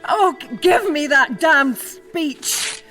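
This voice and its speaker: An actor playing an overcome, tearfully happy politician in an audio drama. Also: male voice, extremely high pitch, expressive, takes it slowly, bright, forceful tone, faint music behind, full character voice. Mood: tearful joy.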